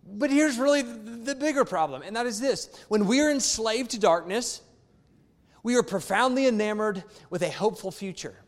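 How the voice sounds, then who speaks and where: A male pastor with a notably high voice preaches in a church whose long reverb trails after his words. He is emphatic and conversational, hammering key phrases through repetition and tossing quick asides to the congregation. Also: animated. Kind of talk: sermon. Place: church sanctuary.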